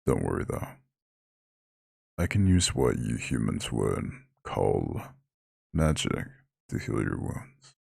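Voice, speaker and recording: Abyssally deep, gravelly eldritch god. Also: male voice, very animated, a little mellow, dry recording, studio quality, heavily acted. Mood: concerned. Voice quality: very deep gravelly husky growl. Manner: slow, soothing, formal speech with proud touches. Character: ancient male eldritch god, horned, imposing, gently caring. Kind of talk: ASMR roleplay voice acting.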